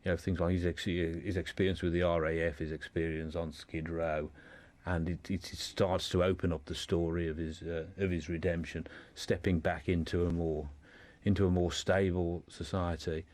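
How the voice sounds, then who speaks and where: A man speaking as a guest in an informal radio discussion, explaining in a halting way and often repeating words. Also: low voice, little pitch movement, darkish tone.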